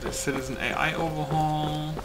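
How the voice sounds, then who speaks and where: Rambling male gamer, higher-pitched than middle. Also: steady tone, moderate pace, over music. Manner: rambling, thinking aloud. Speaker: gaming video maker. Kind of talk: casual game playtest commentary. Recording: game noise behind the voice. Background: video game ambience.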